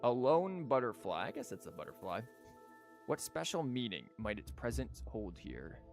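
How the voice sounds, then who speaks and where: A man's voice: medium pitch, sing-song, moderate pace, vocal fry, a little mellow. Sarcastic, foul-mouthed young man streaming. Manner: profane, sarcastic joking at the game. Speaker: streamer playing a story game. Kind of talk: live gameplay commentary. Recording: faint game music behind.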